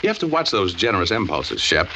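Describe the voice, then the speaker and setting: Wisecracking low-voiced radio private eye. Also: male voice, very animated, fast talker. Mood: sardonic, amused. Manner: sardonic, slangy, breezy. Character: wisecracking hard-boiled male private detective. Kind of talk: 1940s radio detective drama acting. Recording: noisy, muffled old radio recording with clicks.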